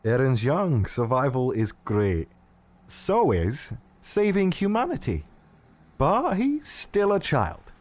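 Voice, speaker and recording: A man's voice: mid-range voice, huge pitch swings, slow pace, darkish tone. A man playing a medical researcher in a fan audio drama, conversational and hesitant, with wry asides and an eagerness to help. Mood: uneasy. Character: male medical researcher, eager, mildly irreverent, ready to help.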